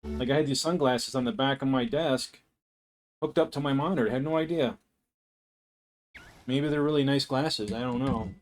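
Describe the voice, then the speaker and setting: A man with a somewhat deep voice chatting casually on a live stream at home, thinking aloud in a rambling, unhurried way into a desk microphone.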